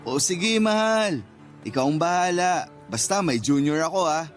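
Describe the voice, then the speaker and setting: A man's voice: a little high, lively intonation, moderate pace, soft background music, natural voice. A Filipino man acting a playful, affectionate young boyfriend in a radio romance drama, teasing and earnest. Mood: eager, earnest. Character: sweet-talking, playful young boyfriend promising marriage.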